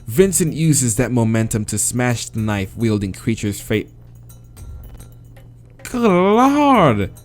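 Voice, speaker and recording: American guy doing a slow dramatic read of a visual novel, slangy reactions thrown in, music going behind him. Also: medium pitch. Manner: expressive, slangy asides and exclamations. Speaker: let's-play host reading a visual novel.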